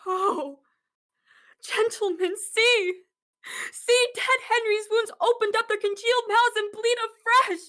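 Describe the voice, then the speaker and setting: A woman's voice: very high voice, steady tone, slow, bright, forceful tone, exaggerated voice. A young woman playing a grieving, furious noble widow in a Shakespearean radio play, declamatory and scornful. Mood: outraged, fearful. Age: young adult. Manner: declamatory, impassioned. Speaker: acting student.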